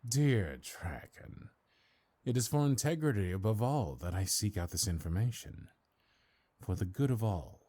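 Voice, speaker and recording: A stately, ancient high councilor from a fantasy audio drama, acted by a man. The voice is low and soft-edged, with an expressive, rolling melody. He speaks formally and pompously, laying on courtesy with sly condescension. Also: a little slow. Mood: measured, imperious. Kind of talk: audio roleplay fantasy acting.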